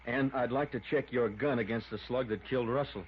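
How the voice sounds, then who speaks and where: A man's voice: medium pitch, fairly even intonation, fairly quick, close to an everyday voice. Man playing a folksy radio lawman, noisy muffled recording. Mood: measured. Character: folksy, level-headed small-town lawman, male peacemaker. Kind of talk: 1950s radio drama acting. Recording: dull, clearly noisy vintage radio recording.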